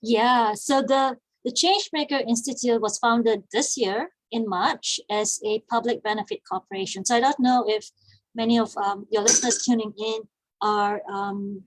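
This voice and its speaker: A chatty woman in her forties, originally from Malaysia, talks as an interview guest with a fairly high voice. She laughs easily and restarts her sentences often. Her mic sounds close and dry, with no room around it. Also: steady tone, normal speed, dry recording, low-quality recording. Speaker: guest, business founder. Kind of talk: casual interview conversation.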